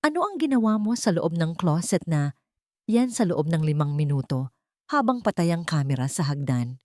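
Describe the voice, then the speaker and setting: A woman's voice: low voice, big pitch swings, moderate pace, darkish tone, no room sound. Woman doing icy rich boss man in an audio drama, stern, accusing, bossy, dry close sound. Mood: controlled accusation. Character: cold, commanding wealthy male boss, angrily interrogating.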